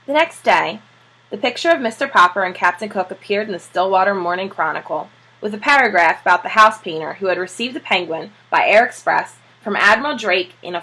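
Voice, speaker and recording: Bright, slightly husky woman reading aloud. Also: mid-range voice, rough recording. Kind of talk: expressive children's storybook narration.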